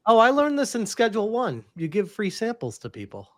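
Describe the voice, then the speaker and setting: A man co-hosting a gaming podcast in casual chat, animated and quick to laugh. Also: high voice. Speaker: podcast co-host.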